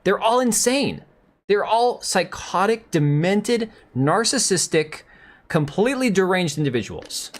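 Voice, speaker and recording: An American man in his thirties hosting a live stream, ranting in a loose, sarcastic, rambling way with a hoarse voice. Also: sits high, big pitch swings, unhurried. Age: 30s. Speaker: livestream host. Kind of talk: casual live commentary rant.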